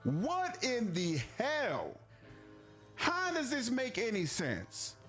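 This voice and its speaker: A man's voice: very high-pitched, wildly swooping pitch, drawn-out words, fairly bright tone, soft background music. A man giving video commentary on a game, indignant and emphatic, repeating himself for stress. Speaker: gaming commentator in a played clip.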